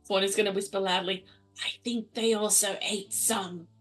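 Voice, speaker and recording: A woman's voice: medium pitch, steady tone, moderate pace, faint music behind, poor audio. A woman playing a tabletop roleplaying game, talking in a casual, playful way. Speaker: player.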